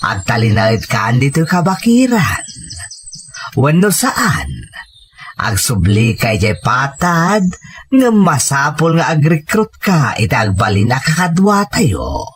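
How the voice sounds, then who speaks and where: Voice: mid-range voice, big pitch swings, slow, breathy edge, heavily acted. An actor playing a grave older rebel leader in an Ilocano audio drama. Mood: grave, measured. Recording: background music under the voice.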